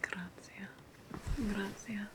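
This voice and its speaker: Woman as a slow whispering haughty Roman noblewoman. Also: mid-range voice, little pitch movement, very noisy. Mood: playful, probing. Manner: slow, teasing, savoring, repeats phrases. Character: haughty, indulgent ancient Roman noblewoman hostess, playful and snobbish. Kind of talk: whispered ASMR roleplay.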